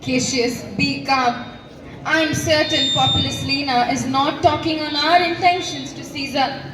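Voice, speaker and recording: A steady, watchful Roman plotter played by a schoolgirl, high in pitch with an Indian accent. It is school stage acting, heard from a distance with clear background noise. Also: a little slow, roomy sound. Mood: calm, watchful. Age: teenager. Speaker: student actor.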